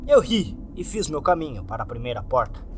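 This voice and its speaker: A man's voice: mid-range voice, very animated, brisk. A man with a Brazilian accent reading a scripted first-person horror story with dramatic delivery, as narrator. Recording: background music under the voice. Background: music.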